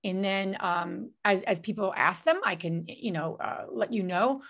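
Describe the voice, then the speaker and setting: A woman's voice: mid-range voice, a little slow, fairly bright tone. A woman hosting a webinar over a video call, talking casually with many fillers and restarts. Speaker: webinar host.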